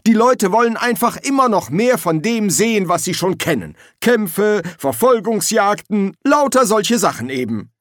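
A sarcastic, bossy director character from an audiobook, played by a male narrator. The voice is pitched very high and swings widely, with a bleating, complaining edge. It snaps out orders in a close, dry recording. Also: big pitch swings, normal speed, few pauses, fairly bright tone, no echo. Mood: snappish, defensive. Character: sarcastic, domineering, jammering boss directing film shoots.

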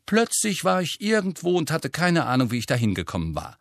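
A man's voice: a little high, wildly swooping pitch, fairly quick, no echo, clean studio sound, voice pushed up. A male narrator voicing a blunt, cool, matter-of-fact younger teenage girl in an audiobook.

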